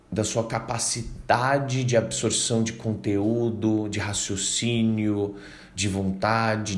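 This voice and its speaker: A man with a Brazilian Portuguese accent giving a casual video lesson on learning English, lively, acting out learners' reactions and reading English lines slowly. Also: low-pitched. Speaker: English teacher.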